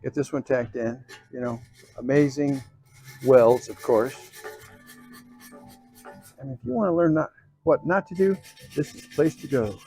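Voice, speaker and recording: A man's voice: medium pitch, measured pace, pauses often, mellow, poor audio. A man narrating a hands-on how-to in a casual, folksy way, often checking in with short informal asides. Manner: folksy, informal, frequent check-ins like okay and cool. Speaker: host of an off-road DIY channel.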